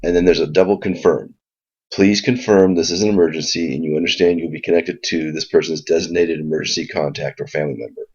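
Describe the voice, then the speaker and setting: Low husky guy walking thru a software tutorial, casual, kinda muffled lo-fi mic. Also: steady tone, quick pace. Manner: informal, explanatory. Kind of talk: casual spoken screen walkthrough. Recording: dull lo-fi mic sound.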